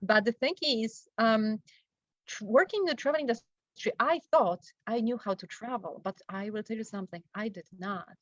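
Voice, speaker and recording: Polish accent lady, middle-aged, talks fast and chatty, keeps saying right, muffled dead sound. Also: a little high, no room sound. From Poland. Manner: chatty, laughs often, keeps asking "right?". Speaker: guest, travel wellness coach. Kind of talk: casual interview chat. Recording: dull, dry lo-fi sound.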